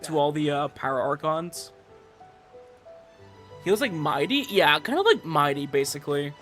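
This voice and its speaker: A man doing casual livestream commentary over a game, chatting with viewers in an animated, exasperated, repetitive rant. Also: fairly high pitch, moderate pace, faint music behind. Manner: animated ranting, exasperated, swearing, repetitive emphasis.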